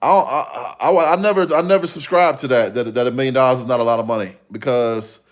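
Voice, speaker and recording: A man in his fifties talks casually to his livestream viewers. His voice sits at an average male pitch. He rambles along, circling back and repeating his phrases as he replies to the chat. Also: fast. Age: 50s. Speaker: livestream host.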